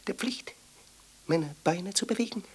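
Eccentric exalted ascetic, husky and breathy, slow, theatrical radio play delivery. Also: female voice, mid-range voice. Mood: fussy, interrogating. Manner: theatrical, halting, muttering and exclaiming. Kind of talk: literary radio drama monologue.

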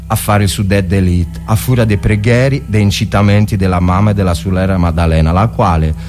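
A humble peasant soldier, acted by a male reader confesses in a letter home. The voice is pitched down, with a northern Italian dialect accent and a plodding, solemn, clumsily formal manner. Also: steady tone, a little fast, over music, close to an everyday voice. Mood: solemn confession.

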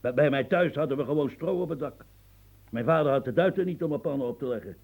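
An elderly marquis with a creaky, rough-edged voice in a radio play. He rambles and grumbles like an old campaigner. His sentences stammer, repeat and drift off into musing. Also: mid-range voice, fast, phone-quality sound. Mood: rambling, nostalgic. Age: older. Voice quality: gravelly, husky. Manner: stammering, repetitive, musing, earthy, prods with "huh". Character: rambling, grumbling old French marquis, retired military officer.

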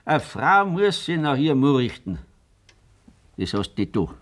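A man's voice: mid-range voice, big pitch swings, measured pace, a bit bright. An actor playing a stern, blunt old farmer father with a Bavarian accent in a German radio play. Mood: stern, reproachful. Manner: scolding, blunt, rustic.

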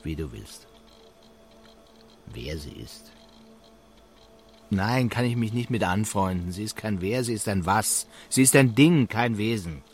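Sceptical male colleague for radio drama: dry, blunt, teasing, low-set voice with animated melody. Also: unhurried, long pauses, soft background music.

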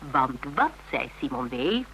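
An actor playing a policeman's sharp, teasing, skeptical confidant in a radio drama, expressive and mocking. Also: medium pitch, a little slow, gravelly, slight background noise, exaggerated voice, rough recording. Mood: animated, conspiratorial.

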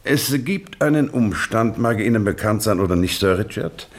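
An actor playing a stern, insistent English police investigator in a German radio drama, questioning in formal, pointedly courteous phrases. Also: male voice, lowish voice, lively intonation, moderate pace, a little hiss or hum. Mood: stern, pressing. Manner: formal, pointed questioning with mock-polite address.

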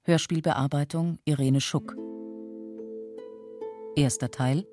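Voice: mid-range voice, fairly even intonation, slow. An announcer reading a scripted title announcement for a radio play. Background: plucked guitar music.

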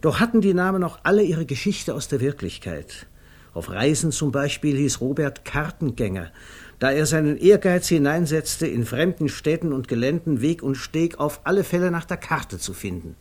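An actor narrating a comic 1950s German radio play in a measured, precise, drily amused literary manner. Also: male voice, on the high side, big pitch swings, a little mellow. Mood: wry. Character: wry literary male narrator of a comic tale.

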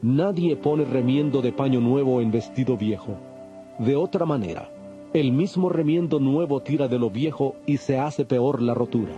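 A man playing a calm, authoritative holy teacher, solemn and measured, in a dramatized audio Bible. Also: mid-range voice, lightly acted. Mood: solemn teaching. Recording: dull lo-fi, faint music behind.

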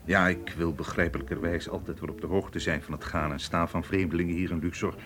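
A man's voice: low-pitched, fairly even intonation, brisk, a bit bright. A man playing a courteous but suspicious police inspector in a radio drama, formal and politely insistent. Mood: suspicious, probing. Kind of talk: Dutch radio play acting.

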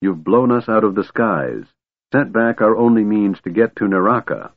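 A man's voice: fairly low pitch, expressive, moderate pace, narrow, tinny audio. A man voicing an exasperated, commanding half-elf adventurer in an audiobook, snapping impatiently. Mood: angry, exasperated.

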